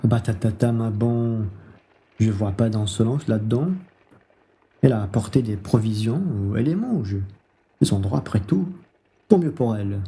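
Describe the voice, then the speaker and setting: A man's voice: on the low side, normal speed, pauses often, dark tone, slightly rough. A man playing a hungry, blunt, wheedling bourgeois husband in a French radio play, speaking in an exclamatory, familiar way.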